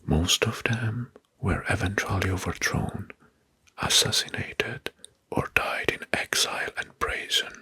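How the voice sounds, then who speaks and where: A man narrating soft-spoken ASMR in a breathy, husky whisper, deliberately repeating names and phrases. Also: lowish voice, wildly swooping pitch. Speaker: host of an ASMR history channel.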